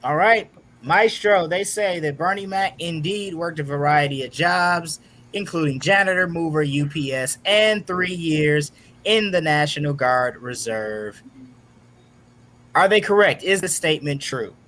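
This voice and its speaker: The quizmaster of a homemade online trivia night, a fairly high-voiced man spelling out the rules over slight background noise. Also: a little slow, a bit bright. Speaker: game show host. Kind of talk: hosting a casual online trivia game show.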